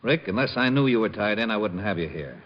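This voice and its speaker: An actor playing a businesslike, no-nonsense police lieutenant, terse and probing, in old radio crime drama style. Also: male voice, lowish voice, lively intonation, quick pace. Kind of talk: 1940s-50s radio crime drama acting. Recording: muffled, noisy vintage radio recording.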